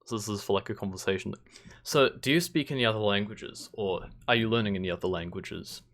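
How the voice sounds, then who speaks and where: A man's voice: lowish voice, brisk, fairly bright tone. Laid-back young male podcast host. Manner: laid-back, short replies, dry jokes. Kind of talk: casual chat.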